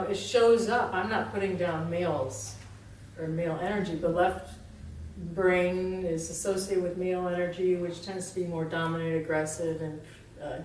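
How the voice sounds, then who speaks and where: A woman teacher speaks casually to a gathered group, self-deprecating and quick to laugh. Her voice carries from a distance across a large, very echoey room. A faint murmur of room ambience sits underneath. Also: fairly low pitch, slight background noise. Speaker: spiritual teacher. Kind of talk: informal dharma talk to a group.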